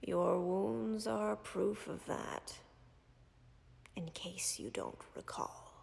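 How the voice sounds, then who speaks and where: A woman voicing a calm, ancient female dragon who tends a wounded human, speaking in a whispery, gravelly, measured and formal way in an audio roleplay. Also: medium pitch, long reverb tail, exaggerated voice. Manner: measured, formal, dryly commanding.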